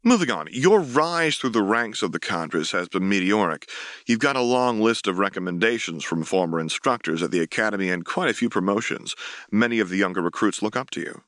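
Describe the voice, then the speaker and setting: A man's voice: lowish voice, lively intonation, a little fast, fairly bright tone, dry recording, clean studio sound. A man voicing a polite, inquisitive and professional female newspaper interviewer in a dramatic audiobook reading. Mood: professional, curious.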